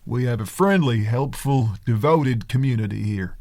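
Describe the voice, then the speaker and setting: A man's voice: medium pitch, normal speed, darkish tone, no room sound, lightly acted. An actor playing a courteous, neighborly small-town pastor and father in his forties in a screenplay reading. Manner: folksy, courteous. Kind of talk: screenplay table-read acting.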